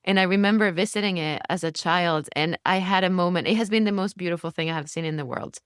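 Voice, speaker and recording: A woman's voice: fairly low pitch, fast talker, clean tone, dry recording, studio quality. A woman from Venezuela co-hosting a podcast, sharing her thoughts in a reflective, earnest way. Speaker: podcast co-host. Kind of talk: conversational interview.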